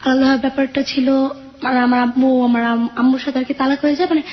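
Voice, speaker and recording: An 18-year-old woman telling her own life story in a radio interview, hesitant and soft-spoken. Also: sits high, little pitch movement, fast talker, dull audio. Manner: hesitant, soft-spoken, with frequent "mane" fillers.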